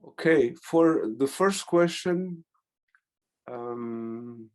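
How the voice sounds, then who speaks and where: A middle-aged man from Algeria, a guest speaker and poet answering audience questions in an online talk, pausing thoughtfully to search for words. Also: mid-range voice, animated. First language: Algerian Arabic (Darija). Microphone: video call.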